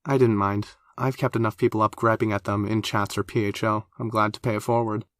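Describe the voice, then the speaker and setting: Narrator as a low-key, steady young man; even, plain, reassuring. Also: brisk, no echo, studio quality, natural voice. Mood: calm.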